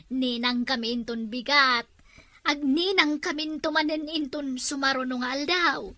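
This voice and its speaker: A woman's voice: high-pitched, unhurried, pushed and bright. A woman with an Ilocano accent playing a chatty village official in a comedy drama.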